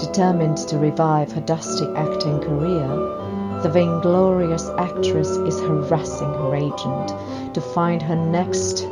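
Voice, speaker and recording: Slow, measured woman narrator. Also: low-pitched. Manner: measured, storytelling. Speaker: writer and narrator of a radio play. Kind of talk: scripted dramatic narration.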